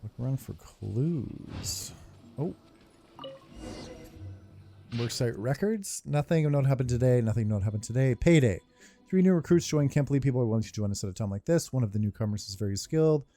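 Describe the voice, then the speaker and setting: A man's voice: medium pitch, lively intonation, a little fast, warm tone. A man hosting a game playthrough, commenting casually and reading game text aloud. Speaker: gaming video host. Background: faint game music.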